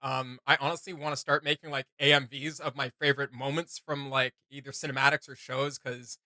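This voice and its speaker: Bright-voiced man, enthusiastic and rambling. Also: medium pitch, flat delivery, fairly quick, dry recording. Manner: enthusiastic, rambling, thinking aloud. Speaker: reaction channel host. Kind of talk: casual reaction chat.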